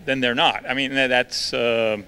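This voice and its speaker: Hesitant man with a bright voice. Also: medium pitch, steady tone, normal speed, clean tone. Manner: doubtful, hesitant. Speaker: audience member. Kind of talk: question from the floor.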